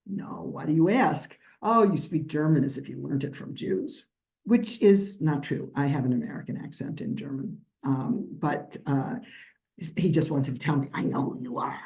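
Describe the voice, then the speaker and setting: An older woman from New York talks through her answers in a loose, rambling way, circling back with restarts and fillers. Her voice sits fairly low and sounds mellow and relaxed. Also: moderate pace, gravelly. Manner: rambling, many restarts and fillers, laughs lightly. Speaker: guest lecturer, historian. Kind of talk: conversational lecture Q&A.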